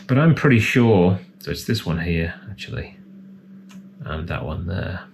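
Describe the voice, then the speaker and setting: A man's voice: low-pitched, lively intonation, measured pace, a little hiss or hum. A man giving casual, hands-on repair commentary as a technician, thinking aloud in a tentative way. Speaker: electronics repair technician presenting a repair.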